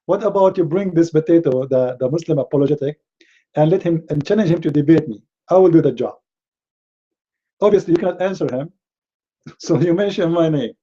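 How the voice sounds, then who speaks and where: A man's voice: on the high side, a little fast, long pauses, mellow, muffled recording. Arabic accent guy arguing all worked up, stumbles n repeats words, darkish voice. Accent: Middle Eastern Arabic. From Middle East. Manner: indignant, repeats words and restarts often. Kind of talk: heated rebuttal to a clip.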